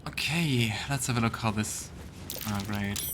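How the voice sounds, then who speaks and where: A man's voice: mid-range voice, unhurried, noisy background. A man hosting a let's play, giving casual gaming commentary and thinking aloud in a conversational way. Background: loud game music.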